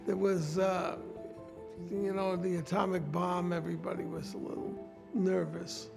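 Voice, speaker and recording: An older man from New York speaks with a very gravelly rasp in a voice that sits higher than most men's. He tells his story reflectively, with small hesitations, over a bed of music. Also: level tone, unhurried. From New York, USA. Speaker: interviewee. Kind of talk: documentary interview recollection. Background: background music.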